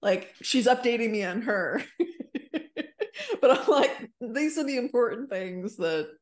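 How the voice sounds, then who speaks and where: A woman's voice: on the high side, expressive, a little slow. A woman in her thirties chatting casually as a podcast co-host, retelling conversations in quoted back-and-forth. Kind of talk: casual chat. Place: indoor room on a video call.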